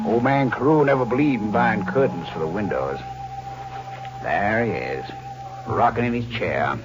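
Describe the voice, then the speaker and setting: An actor playing a sly, needling, falsely friendly crook who schemes murder, in 1940s radio style. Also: male voice, lowish voice. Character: sly, fat scheming crook plotting his uncle's murder. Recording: dull, hissy old radio recording.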